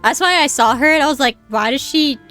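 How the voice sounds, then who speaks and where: A young woman streamer giving casual let's-play commentary, excitable, laughing often and swearing freely. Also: high voice, brisk, soft background music.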